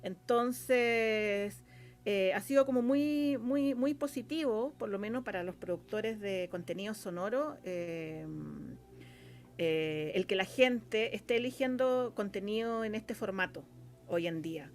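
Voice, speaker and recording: A woman with a Chilean accent explaining things as an interview guest, colloquial and a little halting as she thinks aloud. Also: mid-range voice. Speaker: interview guest, radio drama scriptwriter and producer. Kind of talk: casual conversational explaining.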